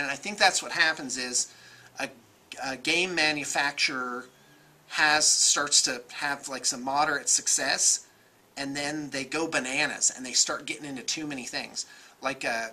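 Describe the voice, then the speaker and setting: An American man in his forties giving casual, off-the-cuff opinions in an animated, conversational way. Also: a little high, unhurried. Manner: animated, gesturing, conversational, self-qualifying. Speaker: vlogger, miniatures shop owner. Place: indoor room with bookshelves.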